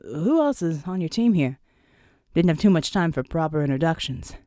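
A man's voice: on the high side, lively intonation, moderate pace, mellow, dry recording, clean studio sound, lightly acted. A woman voicing a tough, wry American soldier man with a folksy, clipped, informal way of talking. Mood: casual, curious.

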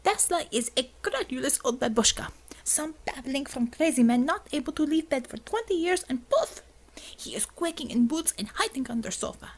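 Narrator lady doing a angry russian commissar woman, scornful ranting, broken english, raised pitch. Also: lively intonation, normal speed, rough recording. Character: fiery, hot-tempered Russian woman commissar.

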